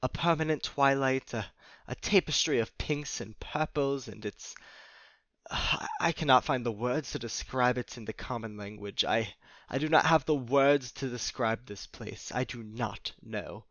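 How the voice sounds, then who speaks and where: A man playing a flustered, confused, nature-loving man who speaks haltingly in formal words in an audio drama. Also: medium pitch, fairly bright tone, no echo. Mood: dazed, awed. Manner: halting, stammering, formal wording.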